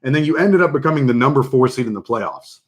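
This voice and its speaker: A man with a deep voice, casually hosting a fantasy football draft stream, joking and rambling with lots of fillers. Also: expressive, fast talker, a little hiss or hum. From Texas. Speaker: fantasy football league host. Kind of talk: casual live stream chat.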